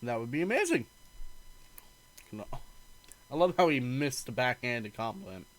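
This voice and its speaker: A man's voice: medium pitch, very animated, normal speed, rough recording. A man reading a visual novel aloud in a let's play, narrating the story and acting out the dialogue expressively.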